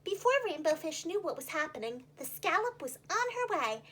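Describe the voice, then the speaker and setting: A woman telling a children's story in an animated, expressive teacher's style. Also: extremely high pitch, moderate pace.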